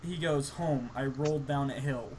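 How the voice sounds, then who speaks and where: A young man bantering casually and telling stories in an incredulous, animated way over an online game. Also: medium pitch, normal speed, slight background noise, rough recording. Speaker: gaming story host.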